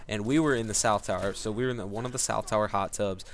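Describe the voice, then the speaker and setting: Low-voiced young man rambling through a story. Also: quick pace. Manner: rambling, with frequent fillers and restarts. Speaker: vlogger. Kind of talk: casual storytelling to camera.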